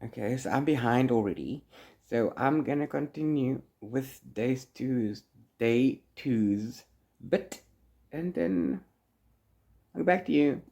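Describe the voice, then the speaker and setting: A man's voice: mid-range voice, expressive, takes it slowly, creaky, warm tone. A man chatting casually as a book vlogger, rambling along in a playful, theatrical way. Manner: rambling, trails off into blah blah and la-la fillers. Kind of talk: casual, chatty reading vlog.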